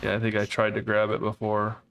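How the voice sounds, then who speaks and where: A man's voice: on the low side, monotone, a little fast, gravelly, a bit bright. A man chatting casually with a friend while playing a co-op role-playing game, asking the characters questions and reading game text aloud. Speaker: co-op player. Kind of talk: casual let's-play chat.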